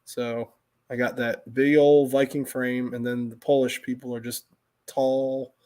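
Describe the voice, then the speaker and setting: A middle-aged American man talks off the cuff in an everyday mid-pitched voice. He rambles through stories, doubling back and restarting his thoughts as he goes, in the relaxed style of a casual livestream. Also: measured pace. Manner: rambling, self-interrupting storytelling.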